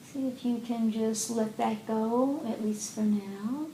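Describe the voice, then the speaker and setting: A woman leading a guided meditation as a teacher, speaking gently and unhurriedly with long pauses in an indoor room. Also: fairly even intonation. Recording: roomy echo, faint rumbling background noise.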